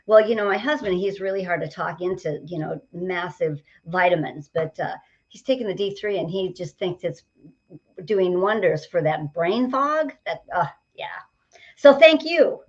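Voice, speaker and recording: Energetic woman nearing seventy, lo-fi. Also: lowish voice, moderate pace. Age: 60s. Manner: energetic, upbeat, talks in stories and examples. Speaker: guest, motivational coach. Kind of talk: podcast conversation.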